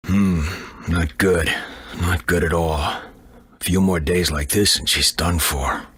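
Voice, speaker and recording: A man's voice: deep voice, steady tone, slow pace, a bit bright, slightly rough, no room sound, clean studio sound, full character voice. A man voicing a blunt, matter-of-fact duck doctor in a video game. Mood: grave, blunt. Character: blunt, gloomy duck doctor, middle-aged male. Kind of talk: video game character acting.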